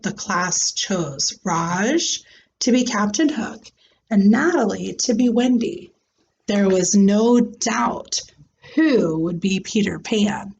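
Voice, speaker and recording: A woman reading a children's storybook aloud in a deliberate, expressive way, like a teacher with a class. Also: medium pitch, muffled recording, slight background noise.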